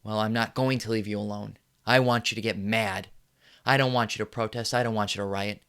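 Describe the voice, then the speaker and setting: A furious middle-aged TV anchor ranting live on air in a film scene. His low voice is forceful and resonant, pounding out the same lines and swelling into yells. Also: fairly even intonation, brisk, smooth. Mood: impassioned. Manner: impassioned, repetitive, builds to yelling. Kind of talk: film monologue.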